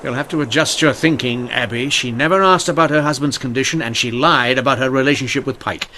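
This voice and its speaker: An actor playing a measured, dryly witty Victorian police inspector in a radio mystery drama. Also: male voice, mid-range voice, pushed and bright, a little hiss or hum, lightly acted. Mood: grave, urgent.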